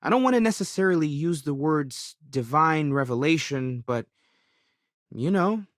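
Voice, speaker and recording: A man's voice: a little high, lively intonation, moderate pace, no room sound, studio quality, natural voice. A man playing an earnest, curious young space explorer of faith in a video game, speaking thoughtfully and at times hesitantly. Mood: thoughtful, concerned. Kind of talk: game dialogue voice lines.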